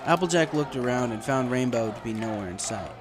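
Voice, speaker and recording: A man's voice: mid-range voice, expressive, fairly quick, music in the background, crowd noise. A man reading a story aloud as scripted narration. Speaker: fan fiction narrator.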